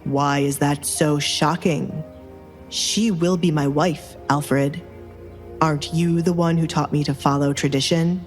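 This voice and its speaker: A woman voicing a stern, commanding young duke with a clipped, deadpan manner in a dramatized audio romance. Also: deep voice, a little slow, faint music behind, pitched below the performer's own voice. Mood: dry, unbothered. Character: stern, commanding young duke, coolly teasing his butler.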